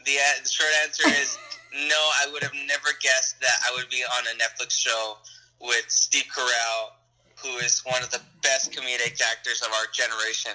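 A man's voice: mid-range voice, flat delivery, moderate pace, sharp tone, faint music behind, low-quality recording. A young adult man, an actor, answering interview questions in a friendly, rambling way with frequent laughs and restarts.

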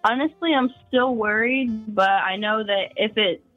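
A woman's voice: a little high, measured pace, faint music behind. Teenage girl on a tinny phone line. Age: teens. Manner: earnest, candid, with giggles. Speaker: caller. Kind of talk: casual phone call.

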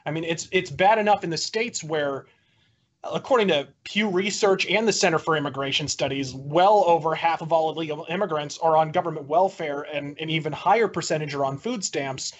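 A man's voice: fairly high pitch, brisk. A man making a wordy, florid and opinionated argument as a debater in a political debate. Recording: dull lo-fi audio.

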